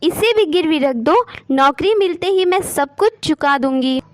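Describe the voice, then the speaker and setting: A woman's voice: high-pitched, expressive, unhurried, dry recording, studio quality. A woman voicing a selfish, ambitious young wife in a cartoon moral story, with dramatic delivery. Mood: demanding.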